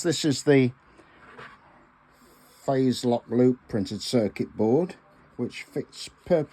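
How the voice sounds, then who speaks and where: A man in his sixties talks through a hands-on hobby demonstration. His voice sits a little low and he speaks very slowly. He is halting and deliberate, often pausing to find the next word. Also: mellow, a little hiss or hum. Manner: halting, deliberate, with frequent pauses and hesitations. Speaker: amateur radio hobbyist presenting a video. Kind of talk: informal hands-on demonstration talk.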